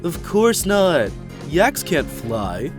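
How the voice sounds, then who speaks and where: A man's voice: fairly high pitch, big pitch swings, drawn-out words, heavily acted. A man voicing a spacey, laid-back male yak witness with a drawling, dreamy way of talking. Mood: matter-of-fact. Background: background music.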